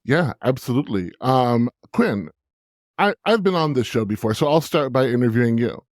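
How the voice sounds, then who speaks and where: A man's voice: mid-range voice, lively intonation, normal speed, dry recording, clean studio sound. Upbeat man guesting on a podcast. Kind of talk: casual podcast chat.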